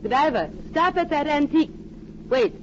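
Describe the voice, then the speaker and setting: A woman's voice: sits high, animated, normal speed. Wary female arms dealer, formal, commanding, vintage radio sound, dull and faintly noisy. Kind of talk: 1940s radio drama acting.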